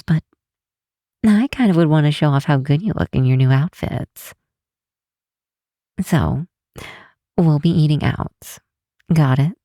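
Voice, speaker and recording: A woman playing an affectionate, teasing vampire scientist in an intimate ASMR roleplay, speaking softly and unhurriedly to a close friend. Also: low voice, dry recording, full character voice. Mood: playful, fond. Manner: soft, unhurried, teasing, sometimes breathy near-whisper. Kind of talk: intimate ASMR roleplay, one side of a call.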